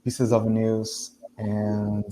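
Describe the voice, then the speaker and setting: A man from Brazil whose first language is Portuguese, talking with his tutor over a video call. He is earnest and agreeable, with thoughtful pauses. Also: lowish voice, completely flat delivery, noisy background. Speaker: language student. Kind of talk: casual conversation lesson.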